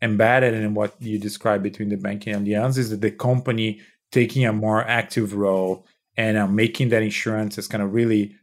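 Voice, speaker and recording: A man's voice: fairly low pitch, level tone, brisk. A man in his thirties from Brazil with a Brazilian Portuguese accent, explaining at length in a podcast interview, with frequent restarts. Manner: explanatory, many restarts and "you know". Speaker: startup founder and CEO.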